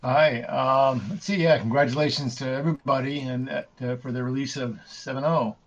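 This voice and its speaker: A man's voice: medium pitch, steady tone, normal speed. A man speaking casually as a participant in an online meeting, offering congratulations and thanks. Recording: dull, lo-fi online call audio.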